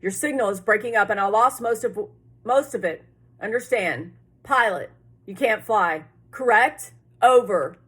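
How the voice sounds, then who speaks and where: Woman doing a no-nonsense radio operator for an audiobook, husky, clipped and loud. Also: mid-range voice, unhurried. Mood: urgent, clipped. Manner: clipped, procedural, emphatic. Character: firm, businesslike radio operator answering a distress call. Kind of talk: audiobook character voice.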